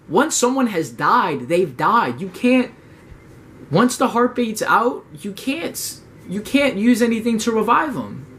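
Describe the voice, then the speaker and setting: A young man giving a loose motivational talk to camera, full of slang, swearing and "right?" checks, slowing down and pressing harder on his key points. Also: high-pitched. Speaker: motivational YouTuber. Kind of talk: casual motivational monologue.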